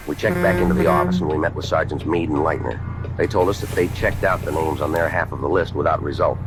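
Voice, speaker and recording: A man playing a terse, businesslike American police detective who narrates his case in a clipped, matter-of-fact way in an old-time radio drama. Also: rumbling low. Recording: old radio show under loud truck cab noise.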